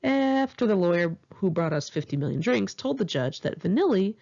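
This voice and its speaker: A woman's voice: mid-range voice, very animated, moderate pace, creaky, darkish tone, dull audio. A woman reads her own memoir aloud in a candid, wry, conversational way, like a casual audiobook. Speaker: author reading own memoir.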